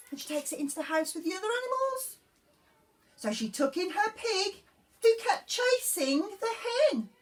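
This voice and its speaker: Woman doing nursery storytime, high voice, super animated, takes her time, asks the kids questions. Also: distant mic. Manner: expressive, asking the listener questions. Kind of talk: animated picture-book reading for young children.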